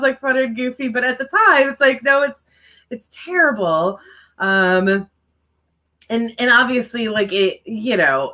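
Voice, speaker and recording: A woman chats to her viewers in a relaxed, rambling way, with lots of fillers along the way. Her mild stutter slips in on repeated words. Her voice sounds bright and forceful. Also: fairly high pitch. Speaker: writing livestream co-host and author. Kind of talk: casual chat to viewers.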